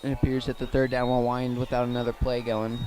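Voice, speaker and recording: A man with an American accent giving live radio play-by-play of a football game, halting at times and correcting himself. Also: mid-range voice, monotone. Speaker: play-by-play commentator. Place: outdoors at a football field.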